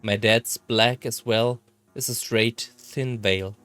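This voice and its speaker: A man reading a visual novel aloud in a halting, slightly theatrical way. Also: medium pitch, fairly even intonation, a bit bright, clean tone, faint music behind. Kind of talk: expressive read-aloud narration of a visual novel.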